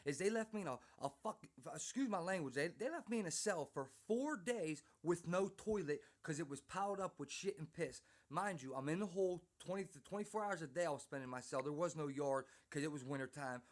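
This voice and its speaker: An American man hosting a conversation in casual slang, venting emphatically in a rambling way. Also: fairly high pitch. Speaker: host and interviewer, former prisoner. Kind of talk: casual, slangy chat with frequent "bro" and "man".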